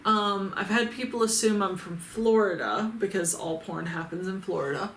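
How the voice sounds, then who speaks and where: A woman's voice: medium pitch, normal speed, fairly bright tone, a little hiss or hum. A Midwestern woman reading viewer comments aloud in a casual vlog, blunt, sarcastic and freely swearing. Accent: Midwestern American. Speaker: adult toy reviewer and YouTuber. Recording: roomy echo with faint ambience.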